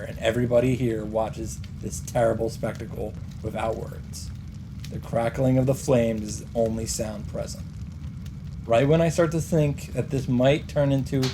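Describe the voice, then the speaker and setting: Man narrating dramatically over noisy game ambience. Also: medium pitch. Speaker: let's player narrator. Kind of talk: dramatic read-aloud of visual novel narration. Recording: game sound and noise behind.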